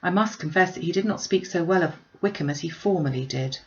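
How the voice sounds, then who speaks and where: A woman voicing a gentle, charitable, troubled young gentlewoman from a classic novel, earnest and measured. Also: lowish voice, lo-fi sound, a little hiss or hum, lightly acted.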